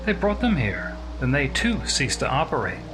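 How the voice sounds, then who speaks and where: A man voicing a logical, single-minded robot in a narrated story, with measured, declarative delivery. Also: medium pitch, sing-song, noisy background, pitched above the performer's own voice, lightly acted. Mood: matter-of-fact.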